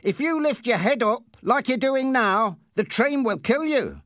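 A man's voice: very high voice, expressive, a little slow, no room sound, studio quality, voice pushed up, full character voice. A man voicing a cruel, bossy boy bully who barks threatening orders in an audiobook story. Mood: cruel taunting. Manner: shouting, threatening commands.

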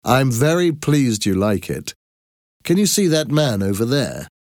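A man's voice: medium pitch, very animated, measured pace, darkish tone, dry recording, clean studio sound, pitched above the performer's own voice. A man voicing a proud, pleased male archaeologist in a story, presenting formally and emphatically. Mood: delighted.

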